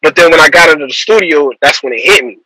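A young man rapper on a video call, talking loose and slangy and trailing off mid-sentence. His voice sits a bit high for a man and sounds bright and forceful. The sound is close and dry. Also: quick pace, husky, dry recording, low-quality recording. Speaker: rapper guest. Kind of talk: casual interview talk.

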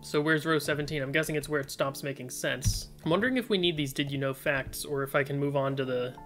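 A man's voice: a little high, fairly even intonation, fast, a bit bright, soft background music. A young man streaming from his desk, casually thinking aloud as he solves puzzles and reads viewers' suggestions. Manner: reads chat suggestions aloud, tentative musing. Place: indoor room at desk.

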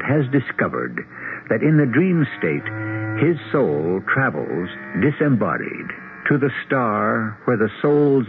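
A man narrating a 1970s radio mystery as host, with theatrical, deliberate phrasing. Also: on the low side, noisy background. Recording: lo-fi old radio broadcast with music.

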